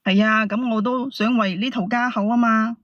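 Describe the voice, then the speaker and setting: A woman's voice: fairly even intonation, normal speed, fairly bright tone, no room sound. A woman calling a show, defending herself in a hesitant, evasive way. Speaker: caller. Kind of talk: casual, defensive argument. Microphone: call into show. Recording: dry, dull low-fidelity call audio.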